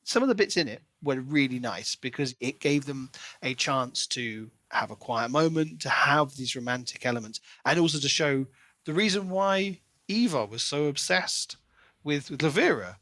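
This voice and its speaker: A man's voice: medium pitch, animated, moderate pace, a bit bright, no room sound. Man discussing books, dry close sound. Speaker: podcast co-host. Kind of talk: casual book discussion.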